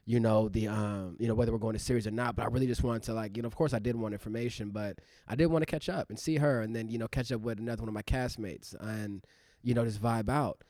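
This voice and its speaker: A man's voice: fairly low pitch, steady tone, fast, a little mellow, no room sound. A man in his thirties, an actor co-hosting a podcast, talking in a loose, rambling way with many fillers. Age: 30s. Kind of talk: casual reflective chat.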